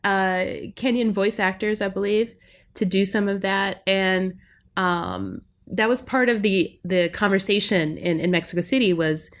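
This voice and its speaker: A woman's voice: mid-range voice, lively intonation, a little slow. A woman linguistics professor talking as a podcast guest in a reflective, academic way, often checking in with "right?". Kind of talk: academic interview talk.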